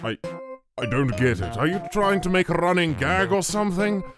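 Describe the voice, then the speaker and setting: A man voicing a brash, vain, crude devil boss in a cartoon dub, gravelly and irritable. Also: sits high, big pitch swings, moderate pace. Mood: grumbling. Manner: swaggering, irritable. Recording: loud music behind the voice.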